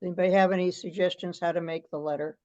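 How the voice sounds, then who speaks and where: A woman's voice: fairly low pitch, steady tone, fast, dark tone. A woman reading a draft aloud and talking it over in an online meeting, on conference-call audio. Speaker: meeting participant presenting a draft letter. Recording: slightly dull video call audio.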